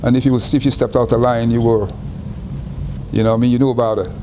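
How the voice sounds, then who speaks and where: An older man from Guyana, telling his life story in an interview in an unhurried way, often repeating and restarting phrases. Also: mid-range voice, fairly even intonation, noisy background.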